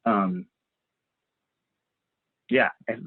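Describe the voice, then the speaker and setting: Husky low-voiced man on a thin line. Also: drawn-out words, phone-quality sound. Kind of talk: casual chat about process.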